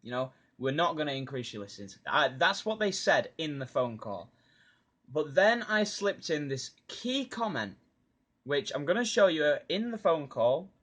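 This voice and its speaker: A man's voice: mid-range voice, a bit bright, slightly rough. A man talking informally and a little hesitantly, as a video creator and online seller. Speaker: YouTuber and eBay seller. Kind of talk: casual vlog talk and phone call.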